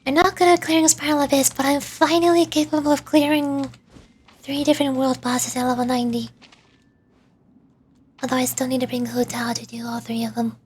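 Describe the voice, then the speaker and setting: A woman's voice: high voice, level tone, a little fast, a little mellow, a little hiss or hum. A woman livestreamer chatting casually while playing a video game. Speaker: livestreamer, vtuber. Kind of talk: casual gaming livestream chat.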